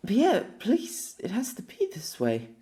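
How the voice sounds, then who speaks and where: Maka, a soft-spoken young woman, as a man puts her on. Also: sits high, huge pitch swings, slow, pitched above the performer's own voice, exaggerated voice. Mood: sorrowful. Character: earnest young woman, tender and sad.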